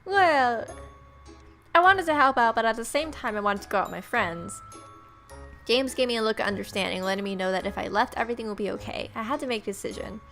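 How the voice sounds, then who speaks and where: A woman's voice: fairly high pitch, lively intonation, quick pace, a bit bright. A woman doing playful gaming commentary, reading story text aloud and adding jokey, informal asides. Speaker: let's play host reading the game aloud. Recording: game music behind the voice. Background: game music.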